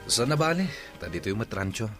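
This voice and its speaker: A man's voice: fairly low pitch, sing-song, fast, over music. A man playing a reformed, subdued village playboy in an Ilocano radio drama. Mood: resigned. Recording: noisy track with birdsong and clicks.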